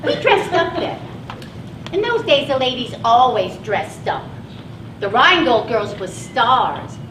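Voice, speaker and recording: A woman's voice: a little high, sing-song, takes it slowly, fairly bright tone, distant mic, slight background noise, heavily acted. An actress playing a dreamy, proud older woman in a stage play, showy and wistful as she reminisces about glory days. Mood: wistful pride. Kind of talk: live stage play acting. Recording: echoey stage recording.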